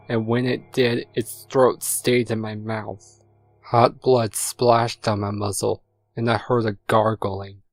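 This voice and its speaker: A man plays a haunted, guilt-ridden stallion confessing his sins. The voice is low and very even, moving slowly and sombrely. It is a dark, dramatic audio story with faint music underneath. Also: dry recording. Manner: sombre, remorseful, measured delivery. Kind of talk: dramatic audio fan fiction reading.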